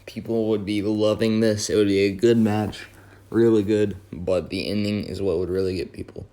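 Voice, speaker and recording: A hoarse young man, his voice worn from yelling, rambling casually through a wrestling talk with many fillers and self-corrections. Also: lowish voice, flat delivery, moderate pace. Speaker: wrestling video host. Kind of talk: casual fantasy-booking commentary.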